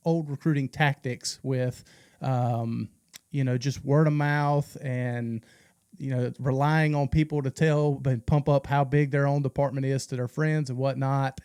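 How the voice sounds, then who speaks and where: A man's voice: medium pitch, darkish tone, smooth. A man co-hosting a podcast, asking long, winding, informal questions. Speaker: podcast co-host. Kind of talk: casual podcast conversation.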